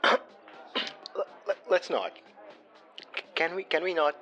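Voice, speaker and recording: An actor playing a wry, guarded psychic-for-hire with dry, hesitant banter in an audio drama. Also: male voice, fairly high pitch, animated, slow, sharp tone, highs cut off, soft background music, heavily acted. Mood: flustered. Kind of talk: naturalistic horror fiction podcast acting.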